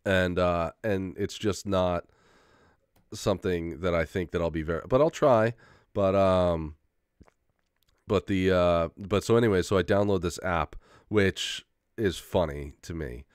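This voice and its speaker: Low-voiced man rambling casually, getting over a cold. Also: a little slow, smooth, dry recording, studio quality. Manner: rambling, many fillers and restarts, casual swearing. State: recovering from a head cold, occasional cough. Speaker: vlogger.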